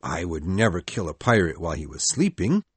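A woman's voice: very deep voice, very animated, a little slow, breathy edge, dry recording, voice pushed down, exaggerated voice. A woman reading aloud, voicing a boastful, fearless young boy leader in a matter-of-fact, cocky way.

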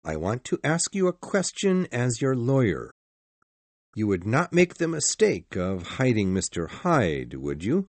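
A man acting a grave, formal gentleman in a gothic mystery audiobook, speaking in a deliberate, earnest way. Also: mid-range voice, huge pitch swings, dry recording, full character voice. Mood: grave, probing. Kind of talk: dramatised audiobook dialogue.

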